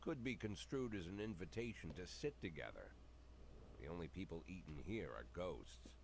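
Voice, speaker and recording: A low-voiced, weary police chief in middle age, narrates a noir video game. He is cynical and brooding, with wide swings in intonation over faint background noise. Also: expressive, moderate pace, gravelly.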